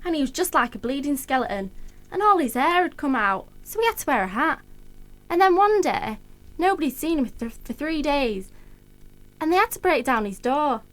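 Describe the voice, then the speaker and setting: Actress as a very high-voiced blunt gossip. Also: female voice, animated, normal speed, fairly bright tone, low-quality recording. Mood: matter-of-fact. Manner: blunt, slangy. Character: matter-of-fact working-class woman gossiping about neighbours. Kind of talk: colloquial radio play dialogue.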